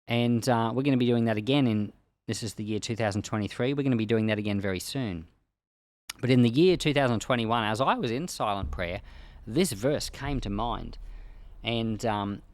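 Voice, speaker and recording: A man's voice: medium pitch, no room sound. A man speaking as a pastor, giving informal Bible commentary in a conversational way with plain, everyday words. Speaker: pastor and Bible podcast host.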